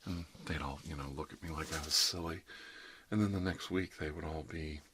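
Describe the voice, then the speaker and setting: Low-pitched man in rambling ASMR chat. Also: fairly even intonation, brisk, pushed and bright, slight background noise. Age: middle-aged. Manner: rambling, conversational, jokey, frequent "you know". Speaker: ASMR performer playing an emergency dentist. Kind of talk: ASMR roleplay, rambling one-sided casual chat.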